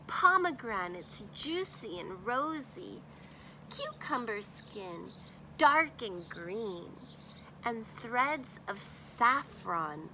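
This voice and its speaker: A woman teacher reading a picture book aloud to children expressively, pausing to think aloud and pose questions. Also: a little high, pushed and bright, slight background noise, low-quality recording.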